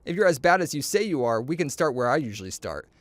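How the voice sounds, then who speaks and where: Male storyteller, lively and swooping, playful with boastful dialogue, recorded dry and close. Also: fairly high pitch, fast, no echo. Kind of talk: animated storybook reading with character dialogue.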